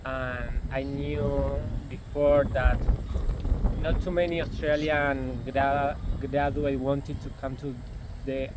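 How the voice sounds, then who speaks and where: A man's voice: mid-range voice, flat delivery, takes it slowly, noisy background. A young man from Argentina whose first language is Spanish, speaking English with an Argentinian accent and answering interview questions hesitantly, often repeating words.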